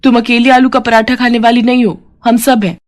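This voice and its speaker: A woman's voice: fairly high pitch, little pitch movement, a little fast, natural voice. A woman voicing a proud working daughter-in-law, indignant and childishly argumentative, in a family story. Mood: defiant.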